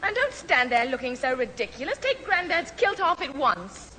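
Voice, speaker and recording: A woman's voice: high-pitched, sing-song, moderate pace, bright, forceful tone, full character voice. A woman playing a haughty, exasperated, bossy young older sister in a 1970s radio sitcom. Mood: furious. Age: young adult. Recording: hissy old radio recording, studio audience.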